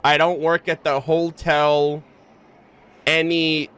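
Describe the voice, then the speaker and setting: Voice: mid-range voice, little pitch movement, takes it slowly, a bit bright, smooth. A game streamer giving casual, slangy, joking reaction commentary with frequent exclamations. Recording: stream commentary over faint game music.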